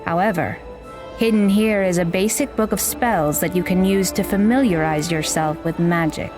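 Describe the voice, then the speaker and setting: An actress playing a blunt, aloof young magical mentor who talks in a dry, deadpan way in an audio drama. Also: female voice, lowish voice, normal speed, lightly acted. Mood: instructive. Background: background music.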